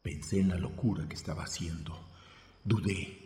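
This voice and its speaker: Deep-voiced Mexican actor as a brooding, obsessed man. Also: steady tone, normal speed, a little mellow, close to an everyday voice. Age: 30s. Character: obsessed, anxious 32-year-old man narrating his troubled thoughts. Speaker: lead actor and narrator. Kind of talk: radio drama acting with confessional first-person narration. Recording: echoing long reverb, music underneath.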